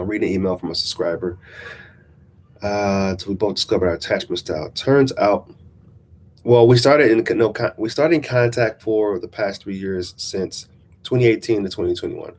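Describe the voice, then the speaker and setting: A man's voice: fairly low pitch, steady tone, moderate pace, a little mellow, slight background noise. A man with a congested, nasal voice talking casually and reflectively as a relationship coach in a vlog. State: congested, recovering from a sinus infection. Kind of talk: casual motivational chat, reading out a subscriber email. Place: small-town coffee shop.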